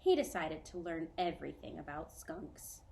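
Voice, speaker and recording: A woman teacher reading a children's novel aloud to a student, clear, measured and gentle. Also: low-pitched, expressive, a little hiss or hum.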